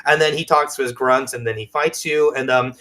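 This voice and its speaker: A man's voice: mid-range voice, fairly even intonation, a little fast, pushed and bright. A man chatting casually as a podcast co-host, telling things in an enthusiastic, rambling way. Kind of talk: casual chat.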